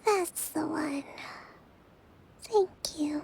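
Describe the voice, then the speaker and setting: A woman playing a gentle, dutiful young island leader in a fantasy game, composed and earnest. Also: high-pitched, very slow, slight background noise. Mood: tired. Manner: soft-spoken, composed, earnest. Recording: music behind. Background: game music.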